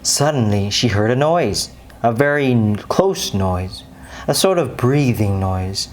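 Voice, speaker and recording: Female storyteller for children's bedtime tale: very deep register, animated and dramatic delivery, some background noise. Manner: dramatic, with deliberate pauses and emphasis.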